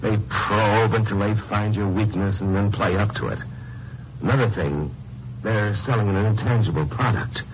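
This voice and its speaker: An actor playing an earnest, wry lawyer hero in a 1950s radio drama, with an American accent. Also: male voice, fairly low pitch, moderate pace, natural voice. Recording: muffled, noisy old radio recording.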